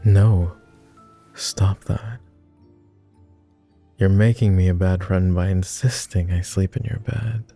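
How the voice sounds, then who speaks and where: A man playing a lonely, gentle vampire who speaks formally and haltingly in an intimate ASMR roleplay. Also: sits low, steady tone, creaky, soft background music, exaggerated voice. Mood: flustered, shy. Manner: formal, old-fashioned wording with halting, hushed delivery. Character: clingy lonely male vampire, courtly, gentle, easily flustered.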